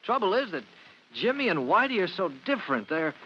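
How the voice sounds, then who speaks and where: Young rookie fireman, higher voice, lively intonation, earnest, vintage radio, muffled. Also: a little fast, exaggerated voice. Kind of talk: 1950s radio drama acting. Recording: muffled old radio recording, faint noise.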